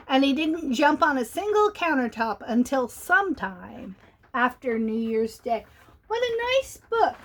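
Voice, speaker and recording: A woman reading a children's picture book aloud in a sing-song way. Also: high-pitched.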